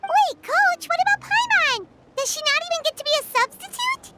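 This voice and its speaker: Childlike game fairy, very high squeaky pitch, animated swoops, perky, chatty, excitable. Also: female voice, normal speed, over music. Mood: whiny, indignant. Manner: excitable, expressive, speaks of self in third person. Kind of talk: video game character voice acting.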